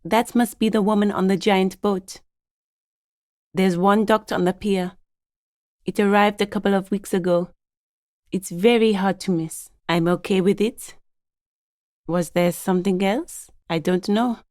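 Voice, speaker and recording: An actress playing a guarded, matter-of-fact gardener in a video game, with an understated, polite but curt delivery. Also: female voice, mid-range voice, fairly even intonation, measured pace, pauses often, darkish tone, no echo, studio quality, natural voice. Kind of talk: game dialogue voice lines, understated and conversational.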